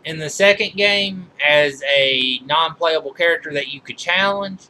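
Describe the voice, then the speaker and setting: A man giving informal film trivia commentary as a reviewer, halting and often correcting himself mid-sentence. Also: on the high side, bright, forceful tone, low-quality recording. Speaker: movie reviewer.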